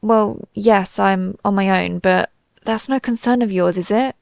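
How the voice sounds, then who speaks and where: A woman's voice: mid-range voice, unhurried, creaky, no room sound. A woman playing a pompous, easily flustered female alien invader in a scripted audio roleplay, with haughty, theatrical, formal phrasing. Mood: exasperated, boastful.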